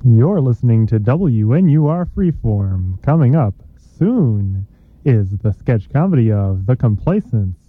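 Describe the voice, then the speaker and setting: A man's voice: mid-range voice, big pitch swings, measured pace, faint music behind. A man announcing and narrating a sketch show on college radio. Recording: thin telephone-like old radio recording.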